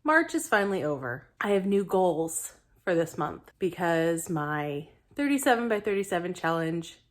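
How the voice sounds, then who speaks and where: A woman in her thirties vlogging casually to camera, her voice raspy from a lingering illness, chatty and self-deprecating. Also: fairly low pitch, very animated, moderate pace. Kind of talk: casual weight-loss vlog to camera.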